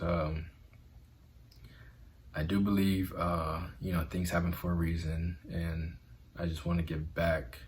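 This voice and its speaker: A man's voice: sits low, a little hiss or hum. A man vlogging in an earnest, conversational way about self-acceptance, with a stutter. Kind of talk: motivational vlog talk.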